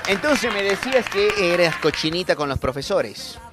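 A man with a Bolivian accent hosting lively, bantering radio talk. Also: high-pitched, normal speed. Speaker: radio host. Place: radio studio. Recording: loud music and crowd behind.

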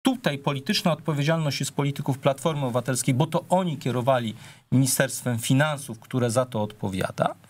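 A middle-aged man speaking as a politician on a television panel. He argues at a measured pace, breaking often into hesitant fillers. His pitch sits in an ordinary middle range. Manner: measured, argumentative, with frequent hesitations. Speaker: politician guest. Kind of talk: political TV debate. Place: TV studio.

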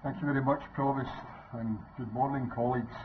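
An older man with a Scottish accent reads a prepared speech in a steady, formal way. His voice is mid-pitched, and the audio is narrow and phone-like with a light hiss. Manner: measured, formal delivery. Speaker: council leader. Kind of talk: reading a prepared budget speech. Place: council chamber, indoors. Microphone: lectern microphone. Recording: tinny phone-like sound, faint noise.

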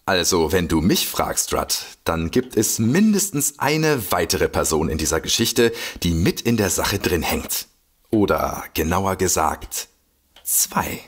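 An actor playing an excitable, boastful detective with a husky voice in a comedy mystery audio drama. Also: male voice, low voice, a little slow, full character voice. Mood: suspicious, knowing. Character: excitable, boastful male detective eager to explain. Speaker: amateur detective.

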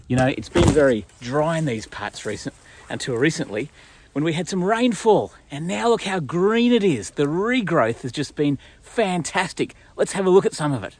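A man in his forties with an Australian accent and a husky voice, giving a simple explanatory nature talk for children outdoors. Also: a little high, expressive, normal speed, dry recording. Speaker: pastor presenting a children's story. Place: outdoors in bushland.

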